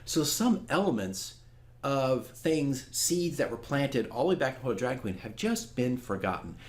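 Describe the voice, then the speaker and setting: A man's voice: mid-range voice, very animated, normal speed, darkish tone. A man speaking candidly and casually to viewers as a dungeon master, earnest and self-critical in a conversational way. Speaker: dungeon master giving a campaign diary.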